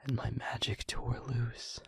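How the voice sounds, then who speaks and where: A man playing a wounded, sorrowful demon in an intimate audio roleplay, speaking slowly and solemnly in a husky, breathy near-whisper with halting short phrases. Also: medium pitch, flat delivery, no echo, studio quality, full character voice. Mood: solemn, pained. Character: wounded, haunted male demon confessing with tender sorrow.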